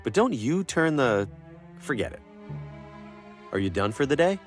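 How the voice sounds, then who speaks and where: A man's voice: medium pitch, big pitch swings, measured pace, soft background music. An actor playing a bemused, jittery traveling salesman with wry asides in an adventure video game. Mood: wry, polite. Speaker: player character in adventure game.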